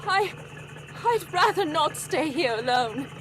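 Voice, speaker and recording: A woman's voice: sits high, lively intonation, slow pace. An actress playing an anxious, earnest servant woman under suspicion, dramatic and insistent, in 1940s radio horror style. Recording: noisy background, insect-like ambience.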